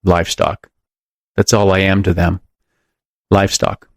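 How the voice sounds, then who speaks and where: A man's voice: deep voice, flat delivery, unhurried, dry recording, studio quality. Man as a hesitant, bewildered sick young man. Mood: bitter. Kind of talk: audiobook character voice.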